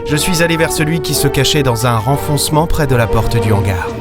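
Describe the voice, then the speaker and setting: A man playing a cynical loner starship captain with a mid-pitched voice and a sardonic, gruff edge. He sounds like a noir hero in a science-fiction audio serial, over prominent background music. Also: expressive, fairly quick, close to an everyday voice. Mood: wry, brooding. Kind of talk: sci-fi audio drama, noir-like first-person narration and dialogue. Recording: music under the voice.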